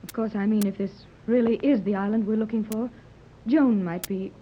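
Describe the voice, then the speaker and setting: Actress as a refined, earnest heroine, muffled old radio. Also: female voice, mid-range voice, steady tone, normal speed, slight background noise, natural voice. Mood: anxious. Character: refined, anxious, devoted wealthy woman adventurer. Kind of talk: 1930s radio serial acting. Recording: dull 1930s radio recording.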